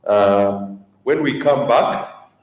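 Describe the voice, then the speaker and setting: A man's voice: mid-range voice, extremely slow. A man moderating a health conference session, speaking hesitantly with many fillers. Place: conference hall. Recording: echoey hall, distant mic, faint noise.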